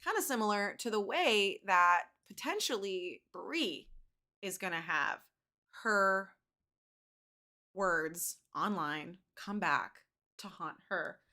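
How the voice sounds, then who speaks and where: A woman's voice: mid-range voice, animated, slow pace, sharp tone. A woman giving casual explanatory commentary like a teacher, emphatic and repeating words for stress. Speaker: teacher commenting on a video for students.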